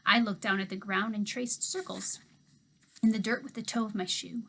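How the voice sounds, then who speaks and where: A woman teacher reading a children's novel aloud to her class, expressive, dropping to a hush for asides. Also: mid-range voice, normal speed, creaky. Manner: expressive storytelling, hushed asides.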